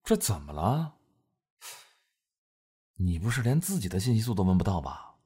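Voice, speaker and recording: Man acting as young male officer, audio drama, low husky voice, cool, guarded, terse. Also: big pitch swings, moderate pace, warm tone, full character voice. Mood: cool, teasing.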